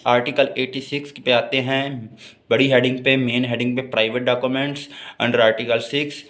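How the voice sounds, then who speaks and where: Pakistani man lecturing, medium pitch. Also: level tone, moderate pace, fairly bright tone. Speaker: law lecturer. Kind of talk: explanatory lecture mixing Urdu-Hindi and English.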